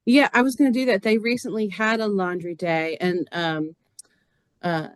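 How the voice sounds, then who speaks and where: A woman's voice: mid-range voice, fairly even intonation, normal speed, no echo, studio quality. A woman talking casually as a member of a community group.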